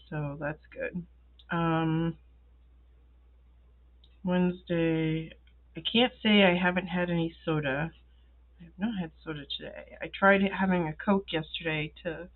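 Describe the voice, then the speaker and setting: A woman who is ill and congested, chatting slowly while she fills in her planner at a desk, with many ums and pauses. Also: lowish voice, phone-quality sound. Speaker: planner vlogger. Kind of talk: casual talk while filling in a planner. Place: indoors at a wooden desk.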